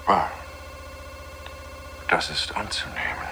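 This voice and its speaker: An actor playing a weary, introspective male astronomer in a radio play, speaking in a halting, musing manner. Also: rumbling low, expressive, vocal fry, background noise, full character voice. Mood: resigned. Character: weary introspective astronomer, captive on moon mission.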